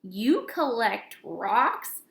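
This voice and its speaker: A woman's voice: on the high side, sing-song, very slow, creaky, exaggerated voice. A woman voicing a grumbling young schoolboy who hates school, complaining emphatically in a children's storybook. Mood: scornful. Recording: roomy, echoey indoor recording.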